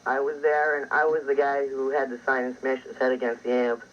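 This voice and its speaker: A man's voice: medium pitch, completely flat delivery, fairly quick. A man calling in to a radio show, eager and pushy to have his say. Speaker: caller. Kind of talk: phone call to a radio show. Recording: dull phone line on radio.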